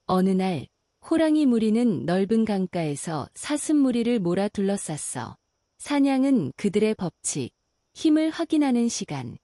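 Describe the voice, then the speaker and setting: A woman narrating a bedtime fairy tale in a calm, slow and soothing way. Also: medium pitch, no room sound, clean studio sound. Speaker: storyteller.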